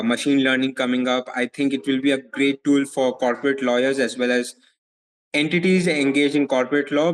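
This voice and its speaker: A young man from India with an Indian accent, answering interview questions as a legal advisor in a measured way, often repeating words as he thinks. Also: medium pitch, rough recording. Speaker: foreign legal advisor, guest.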